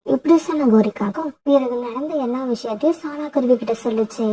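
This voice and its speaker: A woman with a husky voice narrating a scripted children's story. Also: sits high, brisk, warm tone, slight background noise.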